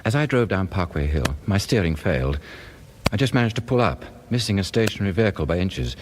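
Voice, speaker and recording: A man's voice: sits low, very animated, fairly quick, background noise. A British man playing an anxious, suspicious professor who narrates a radio drama in a formal, brooding way. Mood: suspicious, uneasy. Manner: formal, brooding, swinging in emphasis. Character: anxious, suspicious professor narrating his own unravelling marriage. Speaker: narrator and lead actor.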